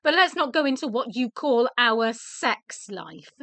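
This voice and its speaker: An actress playing a weary, wounded wife in a radio play, candid and sardonic, hesitant at times and then emphatic. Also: female voice, on the high side, big pitch swings, a little slow, a bit bright, no room sound, exaggerated voice. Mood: exasperated.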